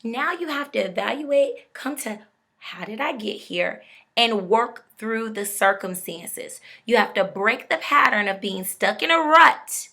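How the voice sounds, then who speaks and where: Bright, emphatic woman motivational speaker. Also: a little high, lively intonation, unhurried, vocal fry. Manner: preachy, emphatic, addresses viewers directly. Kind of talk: motivational talk to camera.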